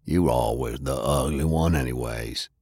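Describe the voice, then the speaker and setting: A man voicing a boastful, menacing brute with a gruff, low growl, in an audiobook. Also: normal speed, a little mellow, dry recording, studio quality. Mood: sneering. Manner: sneering, boastful. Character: brutish, taunting undead-tough brother, boastful and menacing. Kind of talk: audiobook character acting.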